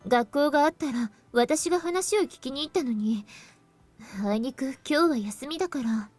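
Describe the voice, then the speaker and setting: A woman's voice: high voice, fast talker, darkish tone, close to an everyday voice. A woman voicing a composed, polite teenage schoolgirl in a visual novel, in an anime acting style. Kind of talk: Japanese anime game voice acting.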